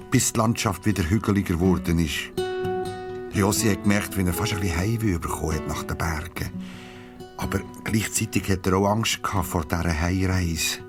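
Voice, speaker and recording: A man with a Swiss accent narrating a scripted children's story, using dramatic pauses. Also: sits low. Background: plucked guitar music.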